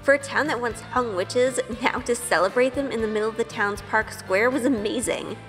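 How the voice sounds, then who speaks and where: A woman plays a sheltered young witch in an audio drama, sweet-natured and full of wonder. Her voice sits fairly high. It rings in a long reverb with soft music underneath. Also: moderate pace, close to an everyday voice. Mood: wonder-struck. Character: Grace, wide-eyed sheltered good young woman turned witch. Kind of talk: first-person audio drama narration with in-scene dialogue.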